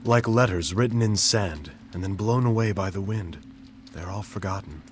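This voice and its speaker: An actor playing a reflective young pianist in a video game cutscene, speaking in a thoughtful, soft-spoken way. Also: male voice, low-pitched, normal speed, a little hiss or hum, natural voice.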